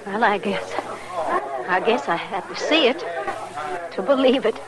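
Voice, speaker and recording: A woman's voice: medium pitch, animated, a little slow, close to an everyday voice. A woman playing a stern, strong-willed frontier mother in a 1950s radio drama, firm and scolding. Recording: old radio drama with crowd noise.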